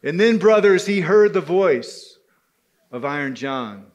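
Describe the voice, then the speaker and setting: A man with a somewhat high voice narrates a tale to a live audience. He is deliberate and dramatic, leaving pauses between phrases and leading his listeners through the story like a group guide. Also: lively intonation. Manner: deliberate, pausing, addresses listeners as brothers. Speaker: storyteller leading a men's spirituality gathering.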